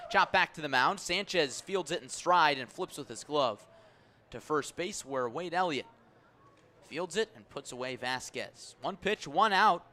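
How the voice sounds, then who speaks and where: Bright-voiced male baseball radio announcer. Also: a little hiss or hum. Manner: measured between pitches, raised and urgent on plays. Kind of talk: live radio sports commentary. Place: stadium press area on second deck.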